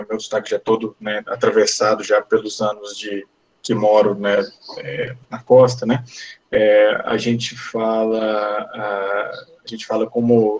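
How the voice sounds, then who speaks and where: A man's voice: fairly low pitch, highs cut off. A man from Brazil with a coastal Brazilian accent, giving an online university lecture in a rambling way, full of fillers and tag questions. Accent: Brazilian Portuguese, coastal.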